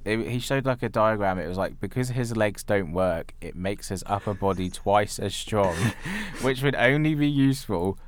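Deep-voiced man, blunt podcast co-host. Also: lively intonation, moderate pace, no room sound. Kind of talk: casual film discussion.

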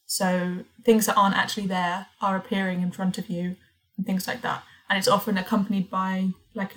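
A woman hosting a podcast, telling rambling stories in a relaxed way with dry teasing humour. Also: medium pitch, low-quality recording. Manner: storytelling, dry teasing, laughs mid-sentence. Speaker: podcast host. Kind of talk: casual chat.

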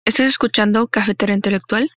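A woman delivering a brisk, welcoming scripted podcast intro. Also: mid-range voice, steady tone. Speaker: podcast host. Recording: voice over guitar music.

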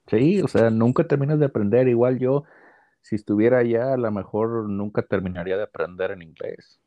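A man's voice: medium pitch, fairly quick, narrow, tinny audio. A man with a Mexican accent, a guest teacher explaining things casually, with frequent fillers like "este" and "o sea".

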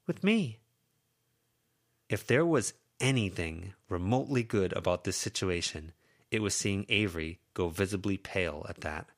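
A man reading a web serial chapter aloud as an audiobook narrator, in measured, even storytelling. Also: low-pitched. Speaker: audiobook reader. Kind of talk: scripted narration.

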